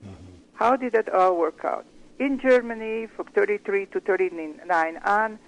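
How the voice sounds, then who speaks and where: An older woman from Hungary, a guest giving her personal testimony slowly, with measured, searching pauses. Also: medium pitch, creaky, rough recording.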